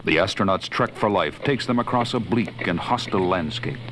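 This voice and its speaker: An actor playing a grave, suspenseful adventure narrator, portentous and theatrical in scripted storybook style. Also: male voice, deep voice, lively intonation, brisk, very noisy.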